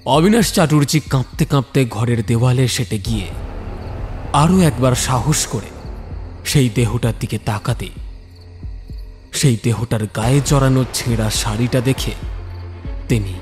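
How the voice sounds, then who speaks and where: Male horror narrator over soft music. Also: medium pitch, measured pace, long pauses. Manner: suspenseful, phrase-by-phrase delivery. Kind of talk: scripted dramatic narration.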